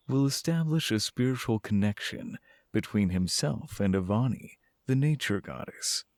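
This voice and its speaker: A man's voice: fairly low pitch, darkish tone, no echo, clean studio sound. A man narrating a scripted fantasy audio drama as storyteller.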